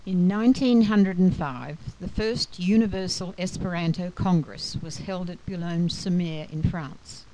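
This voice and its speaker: A woman narrates a scripted history lesson in a measured, explanatory way. Her voice is fairly low and soft-edged, with a mellow, dark tone. There is noticeable noise in the recording. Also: poor audio. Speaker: lecturer.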